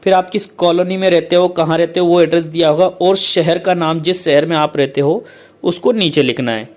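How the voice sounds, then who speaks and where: Male tutor with a slightly high voice, patient and repetitive. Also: fairly even intonation. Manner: repetitive, keeps saying "okay, friends"; reads English lines slowly, then explains. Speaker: English teacher. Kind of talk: explaining a letter format to students.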